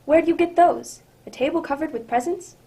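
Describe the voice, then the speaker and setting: A woman's voice: high-pitched, fairly quick, dark tone. A woman playing an affable old-time radio announcer with chummy, polished patter. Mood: friendly, eager. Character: Mister Bartell, affable radio announcer and eager guest.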